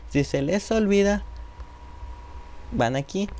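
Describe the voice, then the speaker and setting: A man's voice: high voice, lively intonation, slow, warm tone. A man narrating an informal screen-recorded tutorial step by step, addressing viewers directly. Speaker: tutorial presenter. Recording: noisy home computer microphone recording.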